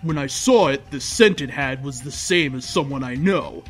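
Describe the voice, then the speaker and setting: A man's voice: medium pitch, moderate pace, fairly bright tone. A man reading a story aloud in a theatrical, mock-serious way, like a host performing fan fiction. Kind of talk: dramatic comedic story reading. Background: music.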